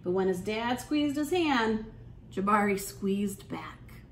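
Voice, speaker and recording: A woman leading a storytime for young children, reading aloud in an animated, encouraging way and putting questions to her listeners. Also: mid-range voice, takes it slowly. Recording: echoey room, clear background noise.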